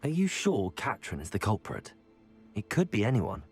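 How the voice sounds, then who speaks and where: An actor playing an earnest, courteous young student hero in a video game, speaking in a measured way. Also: male voice, lowish voice, huge pitch swings, darkish tone, faint music behind, full character voice. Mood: curious, polite.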